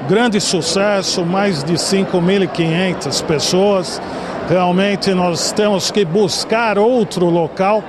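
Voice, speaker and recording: A man in his forties with a São Paulo Brazilian accent answers a TV interviewer in a formal, measured, speech-like way. His voice sits fairly high, caught on a handheld mic in a busy ballroom with steady noise behind him. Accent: Brazilian Portuguese, São Paulo. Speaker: bar association culture director, guest. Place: large indoor ballroom party. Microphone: handheld mic held by interviewer. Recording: noisy ballroom.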